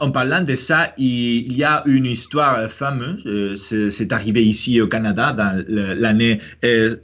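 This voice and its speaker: A man's voice: medium pitch, fairly bright tone, highs cut off. A man whose first language is Spanish, speaking French with a Spanish accent, hosting a casual chat and often hesitating and restarting. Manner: hesitant, many fillers and restarts. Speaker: host.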